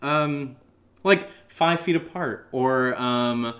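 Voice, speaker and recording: A man's voice: mid-range voice, very slow, a bit bright. A man co-hosting a casual, unscripted podcast Q&A, rambling in a giggly, self-deprecating way. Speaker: podcast co-host and audio drama creator.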